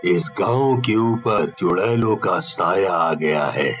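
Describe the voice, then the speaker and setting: A man's voice: low voice, takes it slowly, over music, voice pushed down. A man voicing a stern, solemn old holy man who commands with anger in a horror storybook tale. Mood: solemn warning.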